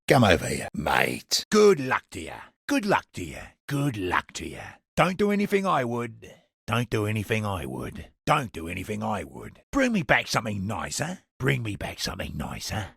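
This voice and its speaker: An actor playing a roguish, wry smuggler in a fantasy game, with a gravelly, raspy voice and sardonic speech. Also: male voice, lowish voice, fairly bright tone, no echo, studio quality, heavily acted. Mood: breezy greeting. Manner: colloquial working-class speech, sly and sardonic. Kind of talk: in-game character dialogue.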